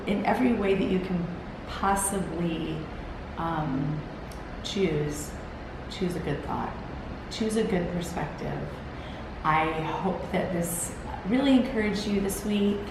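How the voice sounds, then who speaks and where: A tired woman with a gravelly, slightly low voice talks earnestly to a camera on a timer. She is encouraging and rambles along, restarting her sentences. The room echoes strongly, with a steady hiss underneath. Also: takes it slowly. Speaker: host. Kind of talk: solo motivational talk.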